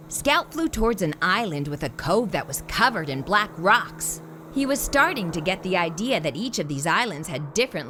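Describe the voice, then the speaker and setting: A woman reading a children's picture book aloud as a storyteller, in an animated way with theatrical emphasis. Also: medium pitch, a little hiss or hum.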